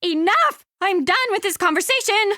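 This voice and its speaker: An actress playing a flustered, defensive, sharp-tongued teenage girl in a video game. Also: extremely high pitch, expressive, normal speed, no room sound, clean studio sound, full character voice. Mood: flustered, exasperated. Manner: prickly, easily exasperated.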